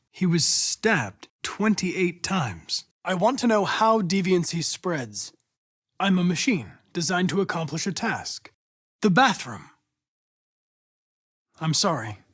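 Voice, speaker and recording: A young American-accented android detective from a video game. His delivery is clipped and formal, relentlessly focused on the mission, yet his pitch moves widely. The recording is very dry and close. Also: a bit bright, no room sound, exaggerated voice. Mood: detached, resolute. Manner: precise, clipped, formal, measured, insistent when pressed. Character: young male android police investigator, polite, composed, relentlessly mission-driven. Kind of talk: video game voice acting, isolated dramatic lines.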